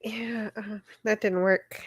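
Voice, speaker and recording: A woman's voice: medium pitch, steady tone, takes it slowly, husky. A woman chatting casually as the co-host of a livestream.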